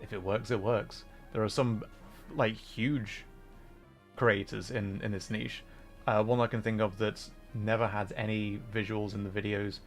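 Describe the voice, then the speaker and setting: A man hosting a livestream, chatting casually in a hesitant, thoughtful, rambling way with frequent pauses while he plays a game. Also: lowish voice, little pitch movement. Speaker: livestream host. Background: background music.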